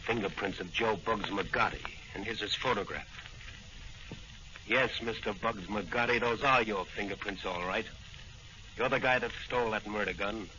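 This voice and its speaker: A man's voice: fairly low pitch, moderate pace, highs cut off, natural voice. A man playing a suave, confident crime-fighting hero with a gallant, declamatory delivery in 1940s radio serial style. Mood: brisk, self-assured. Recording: noisy, band-limited old radio recording.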